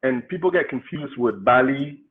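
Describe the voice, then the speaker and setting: A man's voice: mid-range voice, lively intonation, normal speed. Man from Cameroon on a tinny line. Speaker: political candidate. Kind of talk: interview storytelling.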